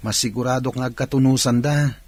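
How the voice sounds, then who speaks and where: A man's voice: medium pitch, steady tone, brisk, a little mellow, dry recording, natural voice. A man acting a confident, cheerful older brother in an Ilocano radio drama, with an Ilocano accent.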